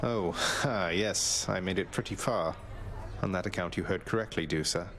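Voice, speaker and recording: A man's voice: deep voice, moderate pace, fairly bright tone. A man voicing a wry, courteous young prince of the underworld in a video game, dry and understated. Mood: friendly, earnest. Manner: dry, understated, casual politeness. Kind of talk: video game character acting.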